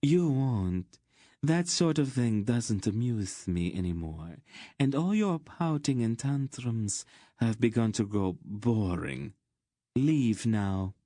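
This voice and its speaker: A man's voice: lowish voice, expressive, slow, mellow, no room sound, studio quality, voice pushed up. Man voicing a languid, purring, cruel queen. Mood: cold, bored. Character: seductive, cruel, bored queen, purring and cold.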